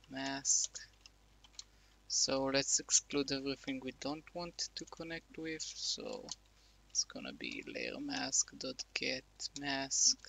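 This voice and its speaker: Man, very slow, hesitant, filler-heavy, casual tutorial narration, desk mic. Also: mid-range voice, creaky, bright, forceful tone. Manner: slow, halting, thinking aloud with many fillers. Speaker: coding tutorial presenter. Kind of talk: casual screen-recorded coding tutorial.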